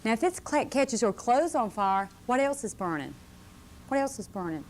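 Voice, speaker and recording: A woman's voice: on the high side, big pitch swings, moderate pace, a little mellow. Patient woman teaching children, slightly noisy tape. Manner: patient, repetitive, checking with tag questions. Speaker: fire safety presenter for children. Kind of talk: question-and-answer lesson with children. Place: live puppet show before children. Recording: noisy live room on VHS tape.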